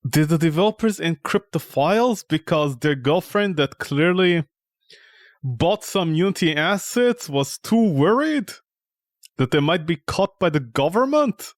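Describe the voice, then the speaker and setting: A man's voice: a little high, animated, a little slow, no room sound, studio quality. A man streaming a game with casual, mocking, exasperated commentary and plenty of swearing. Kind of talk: casual, profane gameplay commentary.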